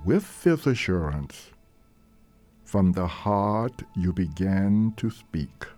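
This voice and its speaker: Very slow, mellow male meditation guide. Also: sits low, animated. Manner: gentle, deliberate, measured phrasing with long pauses. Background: soft meditation music.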